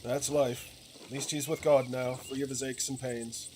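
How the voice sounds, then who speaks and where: A man's voice: medium pitch, level tone, normal speed, darkish tone, a little hiss or hum, close to an everyday voice. A man voicing a blunt, plainspoken male villager from a game, familiar and matter-of-fact. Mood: plain, earnest. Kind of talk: reading game dialogue aloud.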